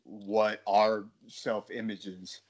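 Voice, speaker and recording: A man's voice: lowish voice, flat delivery, slow, a little mellow, muffled recording. An American man chatting casually as a podcast co-host, joking and laughing often. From United States. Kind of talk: casual chat.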